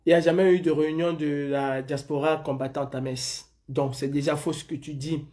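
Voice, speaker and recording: A man's voice: a little high, flat delivery, normal speed, dark tone. A man from Senegal hosting a live stream, arguing with a caller and lecturing him insistently, repeating his points. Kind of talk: heated live call-in debate.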